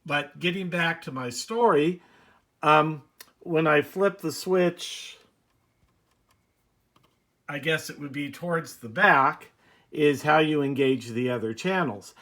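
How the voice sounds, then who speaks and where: A man hosting a hobby video, explaining casually and unscripted, with halting pauses mid-sentence. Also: on the high side, a bit bright.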